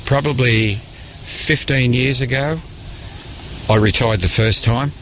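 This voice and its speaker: An older man gives his opinions bluntly in a casual interview. His voice is fairly low and he speaks emphatically. A little background noise sits behind him. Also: gravelly, a bit bright. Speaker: local businessman, interviewee. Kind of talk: informal conversational interview.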